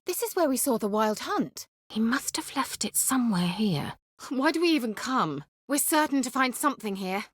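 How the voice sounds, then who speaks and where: An actress voicing a haughty, witty, sly sorceress in a video game, with an arch, formal and sardonic way of talking. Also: female voice, a little high, very animated, moderate pace, breathy edge, dry recording, studio quality. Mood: tense. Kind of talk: video game character dialogue.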